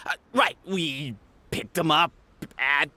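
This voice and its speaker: An actor voicing a cowardly petty bandit in a video game, stammering and fawning nervously. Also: male voice, a little high, slow pace, bright, forceful tone, dry recording, heavily acted.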